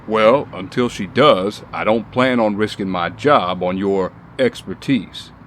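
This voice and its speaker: A man's voice: fairly low pitch, unhurried, fairly bright tone, a little hiss or hum. Guarded, grudging male bodyguard, played by a man. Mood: dry. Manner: casual, skeptical, grudging. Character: guarded, exasperated but loyal male bodyguard. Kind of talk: audio drama acting.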